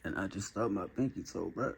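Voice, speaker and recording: A man voicing a heartbroken, self-pitying young man who murmurs his troubles in a low, husky, halting voice. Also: full character voice. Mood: mopey, sorrowful. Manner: quiet, halting, sorrowful confession. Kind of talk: comedy sketch acting.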